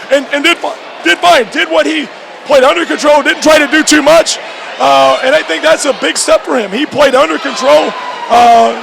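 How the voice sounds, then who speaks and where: High-pitched, gravelly male coach, crowd noise behind. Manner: repetitive, halting restarts mid-phrase. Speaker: high school basketball coach. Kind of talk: postgame radio interview. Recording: noisy gym with loud crowd behind. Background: crowd and clear background noise.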